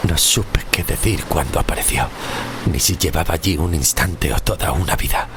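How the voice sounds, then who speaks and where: An actor playing a weary, tormented sixteenth-century shipwrecked sailor, narrating a horror audio drama in a grave, slow, literary way with a gravelly, very deep voice. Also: male voice, noisy background, natural voice. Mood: awed. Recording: music under the voice.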